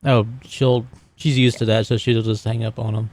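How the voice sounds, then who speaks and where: A man's voice: on the low side, flat delivery, moderate pace, a little mellow. A man talking casually as a player in a tabletop game, describing moves and checking rolls.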